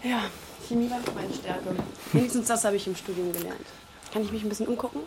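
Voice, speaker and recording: A woman's voice: medium pitch, fast talker, background noise, lightly acted. An actress playing a cool, self-assured dealer in her twenties, speaking directly and in a businesslike way in a radio drama. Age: 20s. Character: cool, self-assured 25-year-old female drug dealer. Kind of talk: naturalistic radio drama dialogue.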